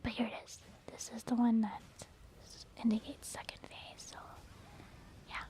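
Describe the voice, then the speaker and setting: A woman whispering breathy, casual ASMR commentary as she explains her gameplay. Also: fairly high pitch, level tone, moderate pace, mellow. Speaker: ASMR game streamer. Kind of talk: soft-spoken ASMR game commentary.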